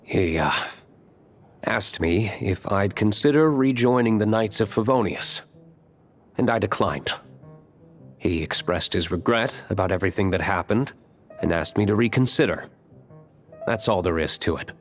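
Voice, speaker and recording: A man's voice: on the low side, animated, moderate pace, long pauses, soft background music, close to an everyday voice. A man playing a reserved, stern young tavern owner in an anime video game, dry and serious. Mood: guarded.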